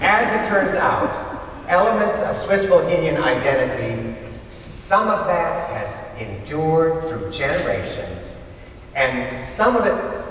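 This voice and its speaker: A man presenting and telling stories on stage in a conversational but scripted, deliberate manner. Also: on the high side, sing-song, fairly bright tone. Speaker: readers theater presenter and storyteller. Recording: echoey hall from far off, faint noise.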